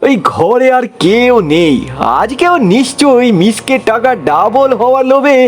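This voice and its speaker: A man's voice: extremely high pitch, animated, unhurried. A man voicing a sly, cowardly monkey trickster in a comic cartoon, with repetitive, exclaiming speech. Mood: sly muttering. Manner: stammering, wailing, repetitive.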